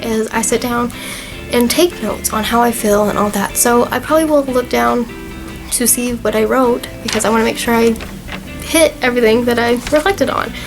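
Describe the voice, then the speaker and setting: Twenties girl vlogging, kinda high voice going a bit hoarse, earnest and chatty, music playing in the back. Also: moderate pace. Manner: chatty and earnest, with frequent fillers. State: slightly hoarse, losing her voice. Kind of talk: casual talk to camera.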